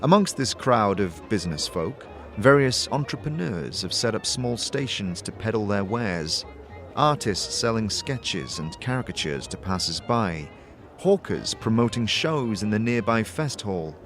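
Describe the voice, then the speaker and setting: A man's voice: on the low side, big pitch swings, measured pace, a bit bright, faint music behind. A man giving scripted second-person narration for a fantasy audio drama.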